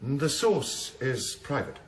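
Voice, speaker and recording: An actor playing a guarded senior police detective, curt, stubborn and official in a radio drama. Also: male voice, medium pitch, huge pitch swings, slow pace, roomy sound. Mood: stonewalling.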